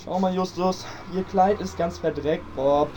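A man playing an excitable, easily exasperated boy detective sidekick in a parody radio play, stammering and insistent. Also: high voice, unhurried, a little hiss or hum.

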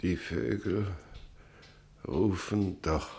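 An actor playing a brooding, troubled clergyman in a German radio play, with a creaky voice and halting delivery. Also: low voice, steady tone, noisy background, full character voice. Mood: irritated. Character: brooding, troubled clergyman preparing a funeral speech.

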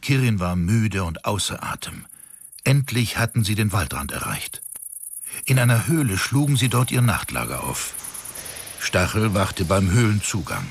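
A man's voice: fairly low pitch, very animated, normal speed, breathy edge, heavily acted. A man narrating a fantasy audio drama in a scripted storytelling style. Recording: prominent music under narration.

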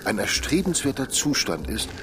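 A man playing a learned, patient and pedantic teacher in a German radio drama, lecturing with deliberate, professorial emphasis. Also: mid-range voice, very animated, background noise, close to an everyday voice. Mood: patient, didactic.

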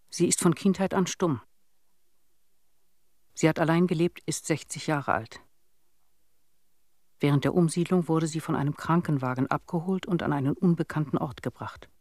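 An actor playing an earnest, imploring villager in a radio play, speaking in simple, halting phrases. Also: male voice, on the high side, dry recording, studio quality. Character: earnest villager pleading for a lost neighbour.

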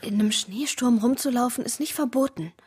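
An actress playing a wary, sceptical young pregnant woman in a German radio crime drama, with a husky, breathy voice. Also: a little high, fairly quick, a little mellow. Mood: hushed, wary.

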